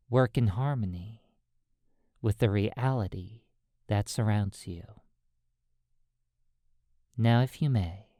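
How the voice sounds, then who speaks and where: A meditation guide narrating in measured, deliberate short phrases with pauses between them. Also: medium pitch, dark tone, no echo, studio quality.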